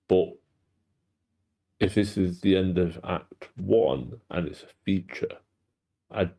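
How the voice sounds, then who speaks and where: A man giving casual feedback in a measured, thoughtful manner. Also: low voice, creaky, dark tone. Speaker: participant giving script feedback.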